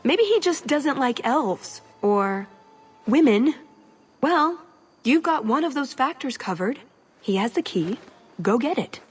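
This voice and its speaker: A woman's voice: high-pitched, sing-song, slow, breathy edge, soft background music, full character voice. An actress playing a young elf thief in a video game, wry, sarcastic and restless in her delivery. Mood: wry, exasperated.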